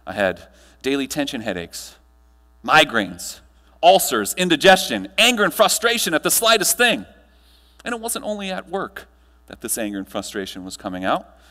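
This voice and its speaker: An American man delivering a rehearsed personal-story keynote as a motivational speaker on stage, speaking in deliberate, emphatic short phrases. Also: on the high side, very animated, bright, forceful tone. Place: large indoor hall, speaking on stage. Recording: echoey hall with mains hum.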